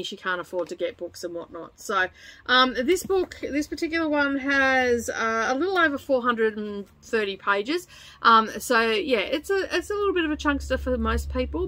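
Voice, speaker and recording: High-voiced middle-aged woman, chatty book vlogger. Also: expressive, normal speed, pushed and bright. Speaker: book channel host. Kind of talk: casual chatty vlog presenting.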